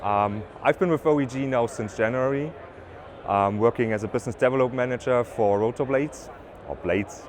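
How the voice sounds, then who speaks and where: A man with a German accent giving a trade-fair presentation as a business development manager, with halting phrasing, fillers and restarts. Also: mid-range voice, a crowd in the background. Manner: slightly halting non-native phrasing with ums and restarts. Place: exhibition stand at an offshore wind event.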